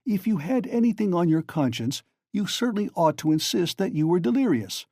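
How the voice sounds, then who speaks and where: A man's voice: high-pitched, lively intonation, a little fast, mellow, dry recording, clean studio sound, voice pushed up. A man voicing a sly, genial middle-aged male magistrate who questions in a wheedling, insinuating way.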